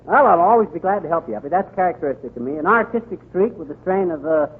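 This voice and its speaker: An actor playing a boastful, folksy small-town husband and wisecracking know-it-all in a 1930s radio comedy. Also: male voice, on the high side, expressive, quick pace, slightly rough. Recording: tinny, noisy 1930s radio recording.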